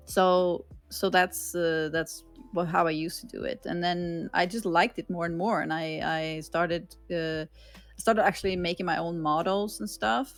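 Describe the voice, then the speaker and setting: A woman from Sweden with a Swedish accent, a streamer being interviewed, rambling casually with lots of fillers and giggles. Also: lowish voice. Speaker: streamer guest.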